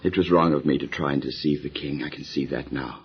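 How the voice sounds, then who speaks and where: An actor playing a solemn, earnest Hebrew patriarch and husband in a Bible audio drama, speaking in a grave, pious, measured way. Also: male voice, extremely low pitch, fairly even intonation, a little hiss or hum. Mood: remorseful, devout. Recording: dull lo-fi radio drama recording.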